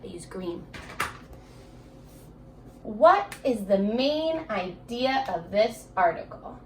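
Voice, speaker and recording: A woman's voice: medium pitch, sing-song, slow, a little mellow, a little hiss or hum. A woman elementary teacher giving a children's reading lesson, encouraging and asking questions as she speaks directly to young pupils. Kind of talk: children's remote reading lesson, alternating slow read-aloud and chatty prompts.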